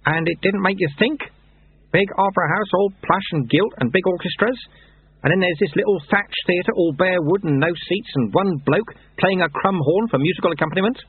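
A man voicing a gruff, wily old monk sweeper in an audiobook story, with folksy, ungrammatical talk and a raised, animated voice. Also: high-pitched, normal speed, no room sound, narrow, tinny audio, pitched above the performer's own voice. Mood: sly, mentoring.

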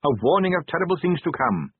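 A man's voice: a little high, big pitch swings, quick pace, slightly rough, no room sound, phone-quality sound, pitched above the performer's own voice. A man voicing an earnest, literal-minded young male captain in a storybook reading.

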